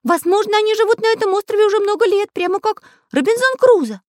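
A woman's voice: very high voice, animated, quick pace, darkish tone, no room sound, studio quality, pitched above the performer's own voice. A woman voicing a small, earnest, kindly living pencil who is an artist, in a children's storybook. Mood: thoughtful. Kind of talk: children's storybook character acting.

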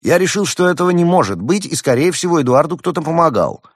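A man's voice: on the high side, expressive, fast talker, no room sound, clean studio sound, voice pushed up. A man voicing a cocky, eager young male hedgehog student in a storybook, self-assured and theatrical. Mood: excited. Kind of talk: acted storybook dialogue.

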